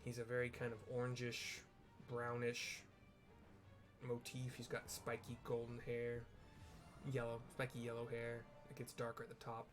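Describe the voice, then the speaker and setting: Man, medium pitch, casual gameplay commentary, explanatory, rambling, clear background music. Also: monotone, normal speed. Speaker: video game streamer and narrator.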